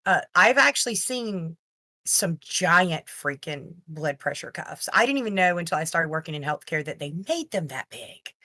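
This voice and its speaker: A woman's voice: sits low, sing-song, moderate pace, sharp tone, no echo. A woman co-hosting casual live reaction commentary in an exasperated, mock-ranting way. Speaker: live stream commentary co-host.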